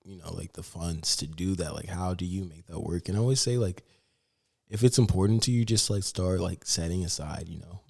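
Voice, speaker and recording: A young American man co-hosting a podcast, talking in a relaxed, chatty way with many fillers. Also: low-pitched, gravelly. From United States. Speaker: podcast co-host. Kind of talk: casual podcast chat.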